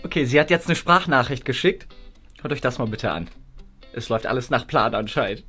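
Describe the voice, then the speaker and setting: A man's voice: mid-range voice, lively intonation, a little fast, bright, forceful tone. A young man talking playfully and casually to the camera as a vlog host. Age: young adult. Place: small studio room, basement indoors. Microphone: handheld camera at arm's length. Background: background music.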